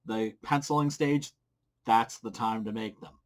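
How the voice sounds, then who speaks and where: A man's voice: fairly low pitch, unhurried, poor audio. Blunt, informal middle-aged man. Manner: blunt, informal, crude humor. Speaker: comic book creator giving advice. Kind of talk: casual advice vlog to camera. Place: small indoor home room. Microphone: desk microphone with pop filter, close.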